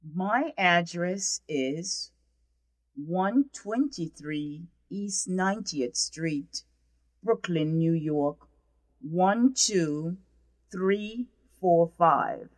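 A woman's voice: lowish voice, animated, extremely slow, no echo, rough recording. A woman reading scripted dialogue lines for a language course, carefully enunciated.

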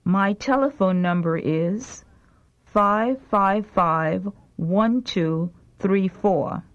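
A woman reads short lesson sentences at a slow, deliberate pace for learners to follow. Her pitch sits in an ordinary middle range. The sound is dull and lo-fi, like an old tape. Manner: slow, clear prompt sentences. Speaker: language lesson reader. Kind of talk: slow repeat-after-me language drill.

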